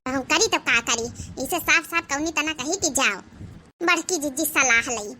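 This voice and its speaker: A woman's voice: sits high, normal speed, pushed and bright, slight background noise. A woman with an Awadhi accent performing comedy cartoon dialogue in a chattering, complaining village-gossip manner.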